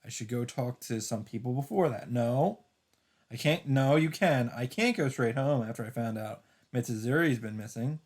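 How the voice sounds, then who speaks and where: A man's voice: medium pitch, normal speed, a little mellow. A man hosting a let's play, reading visual novel narration aloud and adding dramatic asides as he reacts.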